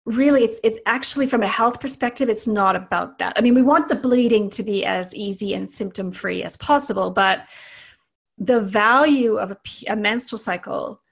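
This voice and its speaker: A middle-aged Canadian woman talks as a guest, explaining things in a loose, chatty way. Her voice has a noticeable gravelly fry at the ends of phrases, and her pitch sits in an ordinary middle range. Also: normal speed. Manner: chatty and explanatory, with frequent restarts. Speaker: guest, naturopathic doctor. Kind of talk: casual interview talk.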